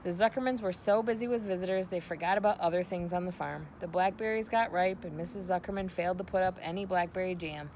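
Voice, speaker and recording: A woman's voice: on the low side, quick pace, few pauses, darkish tone, slight background noise, poor audio. A woman narrating a children's storybook aloud.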